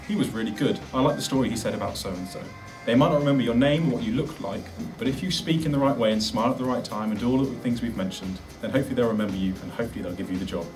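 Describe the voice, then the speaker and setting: A man's voice: mid-range voice, very fast, few pauses, recorded from a distance, over music, poor audio. A man giving an instructional presentation, as a presenter of practical advice. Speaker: presenter giving interview tips.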